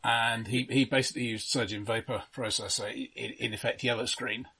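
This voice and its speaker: A man with a mid-range, rather husky voice discusses film casually as a co-host. His delivery is halting, with doubled words and restarts, and his manner is analytical and explanatory. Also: medium pitch, steady tone, bright, forceful tone. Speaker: podcast co-host.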